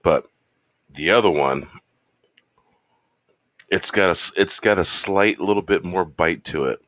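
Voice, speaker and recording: A man hosting his show in a laid-back, rambling casual chat, slightly hoarse from losing his voice. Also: sits low, a little slow, a bit bright, no room sound, clean studio sound. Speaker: show host. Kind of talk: casual chat while drinking.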